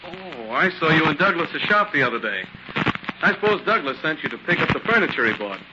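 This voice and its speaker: A defensive, evasive nephew in an old radio drama. His fairly high voice sounds testy through a muffled recording with loud noise. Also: sing-song, a little fast. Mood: wary. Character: irritable, evasive nephew, adult man guarding his privacy. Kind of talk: 1940s radio drama acting. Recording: noisy, muffled 1940s radio recording with clicks.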